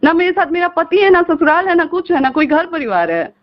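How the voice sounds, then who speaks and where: Woman, high voice, eastern Hindi-belt accent, aggrieved and insistent, pleading over a dull lo-fi phone recording. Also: sing-song, a little fast. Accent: eastern Hindi belt, Bhojpuri region. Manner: insistent, aggrieved, repeating questions and demands. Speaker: caller in a recorded phone call. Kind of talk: emotional phone conversation, arguing and pleading. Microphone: phone call.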